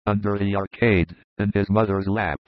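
A man reading aloud and voicing a rough, desperate Roman soldier in a lower, harsh voice. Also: fairly even intonation, moderate pace, no echo, lightly acted. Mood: urgent. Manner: harsh, insulting, urgent. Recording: dull lo-fi dry recording.

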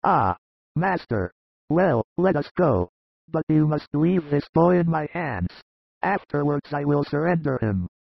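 Man voicing a fisherman, creaky, slightly raised pitch, plainspoken, audiobook. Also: very animated, unhurried, no echo, exaggerated voice. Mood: earnest. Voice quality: gravelly, creaky. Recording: dull lo-fi dry recording.

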